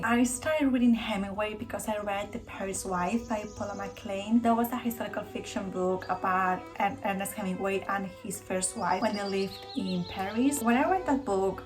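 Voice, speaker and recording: A Spanish-accented woman from Spain, a native Spanish speaker, talks through her book haul in a chatty, eager way, circling back to reword her thoughts. Her voice sits at a middling pitch for a woman. Music plays clearly underneath her. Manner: chatty and enthusiastic, often rephrasing mid-sentence. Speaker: book vlogger. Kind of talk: casual book haul talk to camera.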